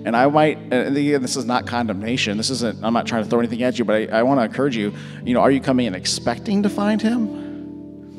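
A slightly hoarse man in his thirties speaks into a handheld mic on a church stage, his mid-pitched voice carrying a long reverb from the hall. He preaches and prays in a relaxed, rambling way, with soft music playing behind him. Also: fast. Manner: relaxed, rambling with many fillers and restarts. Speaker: pastor. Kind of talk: informal sermon and prayer. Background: soft worship music.

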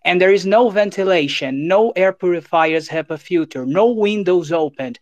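A man's voice: high-pitched, moderate pace, no room sound. A man with a Portuguese accent, a native Portuguese speaker, politely asking a question as an audience caller. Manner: polite, careful phrasing. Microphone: remote online audio call.

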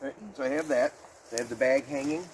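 A middle-aged man demonstrating bushcraft in a pine forest, relaxed and explaining step by step as he works, picked up by a camera a few meters away. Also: medium pitch, quick pace. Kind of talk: outdoor demonstration. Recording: outdoor noise behind voice.

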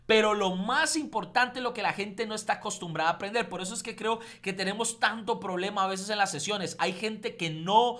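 A man from Costa Rica with a Costa Rican accent, teaching a trading class in an energetic, upbeat, emphatic way. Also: sits high, a little fast, hardly any pauses. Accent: Costa Rican Spanish. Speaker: trading instructor. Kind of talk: motivational class talk.

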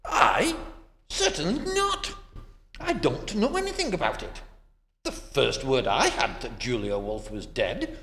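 An amateur actor, a man, playing a stiff, evasive gentleman hanger-on in an old-time radio play. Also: on the high side, wildly swooping pitch, measured pace, exaggerated voice. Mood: guarded. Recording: echoey hall.

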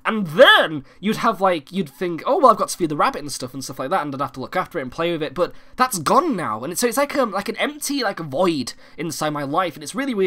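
A young man from the United Kingdom, hoarse and croaky from a cold, hosting a casual gaming-channel vlog and rambling with many fillers and restarts. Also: sits high, very animated, fast, few pauses, pushed and bright. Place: home recording room.

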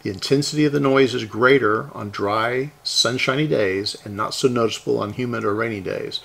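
A man's voice: mid-range voice, fairly quick, gravelly, a bit bright. A middle-aged American man from the Houston area, chatting casually and explaining things in a folksy, musing way. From Houston area, Texas, US. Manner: folksy, musing, thinking aloud. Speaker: hobbyist repair video host.

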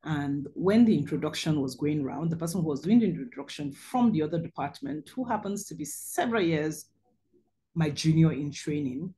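A woman's voice: sits low, lively intonation, moderate pace, mellow. A middle-aged woman surgeon hosting a webinar on a video call, telling her own story over slides in an earnest way with frequent ums.

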